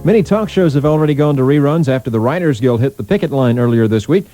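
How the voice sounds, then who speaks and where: A man reading a brisk radio news and weather update as a station newsreader. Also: medium pitch, animated, warm tone, faint music behind.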